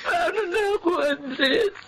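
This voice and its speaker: An actress playing a lonely, grieving daughter in a radio drama, tearful, halting and pleading. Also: female voice, extremely high pitch, lively intonation, a bit bright, heavily acted. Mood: sobbing, despairing. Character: grieving lonely daughter who cared for her sick mother. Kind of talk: Tagalog radio drama acting. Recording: dull lo-fi radio drama sound.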